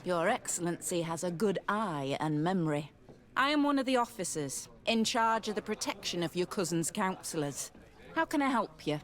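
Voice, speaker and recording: A woman's voice: lowish voice, sing-song, normal speed. A woman playing a courteous, dutiful officer of the guard in a video game. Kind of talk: video game dialogue acting.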